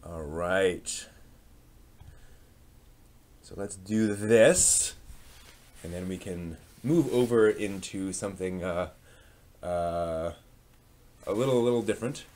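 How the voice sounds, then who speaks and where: A man's voice: sits low, slow pace, long pauses, darkish tone. A man hosting a live stream with casual, rambling chat and hesitant asides.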